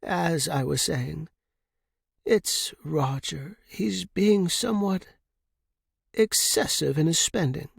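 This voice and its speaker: A man's voice: fairly high pitch, slow, vocal fry, slightly rough, no room sound, clean studio sound, voice pushed up, heavily acted. A man voicing a genteel older wealthy lady in an audiobook, gracious but fretful, with mannered, polite, delicate speech. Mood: delicately worried. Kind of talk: audiobook character voice.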